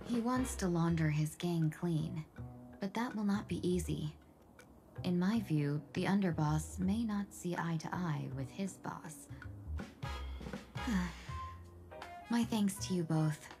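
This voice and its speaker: A woman voicing a polite, dutiful young female envoy in a video game, measured and formal. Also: on the low side. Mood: thoughtful, grave. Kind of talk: dramatic video game voice acting. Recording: music behind the voice.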